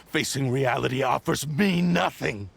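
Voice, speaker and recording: Gravelly, scornful cursed blade spirit. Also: male voice, mid-range voice, measured pace. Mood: haughty. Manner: haughty, scornful, stubborn. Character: arrogant cursed blade spirit, male, menacing. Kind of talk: video game voice acting.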